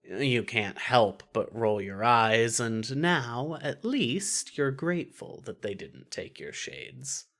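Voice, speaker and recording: A narrator reading scripted fiction in an expressive, wry storytelling manner. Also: mid-range voice, slow pace, few pauses.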